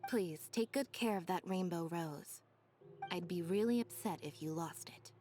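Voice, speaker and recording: An actress voicing a cool, deadpan magician's sister, a restrained young woman in an anime-style game. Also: on the low side, unhurried, faint music behind, close to an everyday voice. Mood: calm, teasing.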